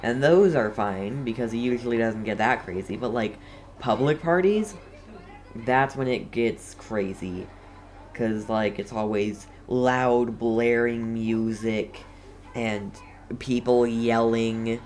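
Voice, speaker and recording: A man giving casual game commentary in a halting, rambling way. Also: medium pitch, darkish tone. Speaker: gamer playing through a story quest. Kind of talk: casual game commentary, reading dialogue aloud.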